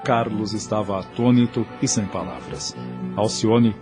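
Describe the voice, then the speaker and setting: A man's voice: on the low side, unhurried. A man narrating a scripted drama in a solemn, literary storytelling manner. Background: music.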